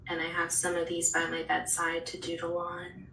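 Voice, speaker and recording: Female art instructor, gentle and chatty, live-stream audio with room echo. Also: on the low side, completely flat delivery, moderate pace, vocal fry, distant mic, a little hiss or hum. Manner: soft-spoken, chatty, answering viewers while drawing. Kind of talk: live online art class.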